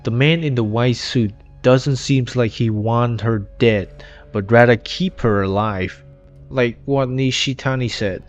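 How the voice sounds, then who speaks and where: A man's voice: lowish voice, highs cut off. A man reading scripted retrospective narration in slightly non-native English, recorded on an inexpensive home microphone. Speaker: video essay narrator.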